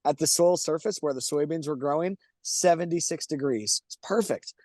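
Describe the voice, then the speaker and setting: A man's voice: fairly high pitch, moderate pace, a little mellow, dry recording, studio quality. Enthusiastic man hosting a webinar. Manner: enthusiastic, restarts and fillers. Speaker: webinar host. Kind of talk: casual webinar discussion.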